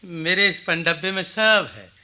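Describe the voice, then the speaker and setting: Sharp-voiced humble village oil-seller. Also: male voice, sits high, measured pace, exaggerated voice. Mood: humble, obliging. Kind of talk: Hindi radio play acting.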